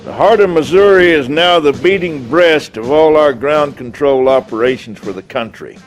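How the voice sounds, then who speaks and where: An actor playing a folksy, gruff, confident American army general in a game cutscene, talking informally. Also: male voice, on the high side, soft background music, lightly acted, rough recording. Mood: wry, briefing. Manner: folksy, informal, drawn-out hesitations. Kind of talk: dramatic game cutscene acting.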